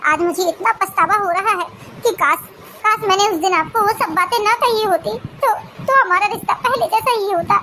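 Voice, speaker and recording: Woman acting a very high-pitched, tearful young wife. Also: bright, forceful tone, slight background noise. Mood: tearful remorse. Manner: tearful, pleading, repeats words haltingly. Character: distraught young married woman, ill, pleading and remorseful. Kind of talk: melodramatic Hindi family cartoon drama.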